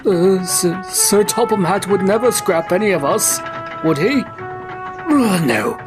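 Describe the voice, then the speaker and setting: A man's voice: high voice, expressive, slow pace, music in the background. A man voicing a worried, tired steam engine in a cartoon dub, hesitant and stammering. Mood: anxious. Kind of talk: cartoon-style character dub.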